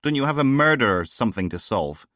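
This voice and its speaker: A man voicing a tired, dry-witted male detective who answers with flat exasperation. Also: medium pitch, quick pace, no echo, pitched above the performer's own voice. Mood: exasperated.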